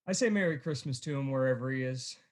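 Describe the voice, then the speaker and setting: An actor playing a kindly, good-humored nephew in a radio play, speaking in a jovial, earnest way. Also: male voice, mid-range voice, fast talker, natural voice.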